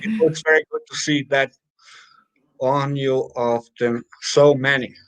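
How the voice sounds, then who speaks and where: A man's voice: medium pitch, steady tone, a little slow, a bit bright, clear, resonant tone, poor audio. A man co-hosting a casual live stream, chatting loosely and reading viewers' comments aloud with plenty of fillers.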